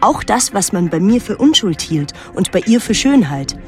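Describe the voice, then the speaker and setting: An actress playing a reflective daughter who narrates a literary radio drama in a measured, introspective way. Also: female voice, mid-range voice, very animated, a little mellow, soft background music, lightly acted. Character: reflective daughter recalling her reclusive painter mother.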